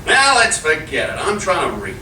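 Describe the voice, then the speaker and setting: An actor playing an irritable, nervous husband in an old-time radio comedy, blustering and exasperated, recorded live on stage. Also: male voice, fairly high pitch, wildly swooping pitch, normal speed, sharp tone, heavily acted. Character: irritable nervous husband trying to sell haunted house. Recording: roomy live stage, distant mic, hiss.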